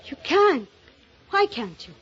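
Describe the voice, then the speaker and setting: A woman's voice: extremely high pitch, very animated, slow, heavily acted. An actress playing a secretive, reckless murderess who narrates her own crime with dramatic intensity in 1950s radio mystery style. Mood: pleading. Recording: dull old radio recording, loud music behind.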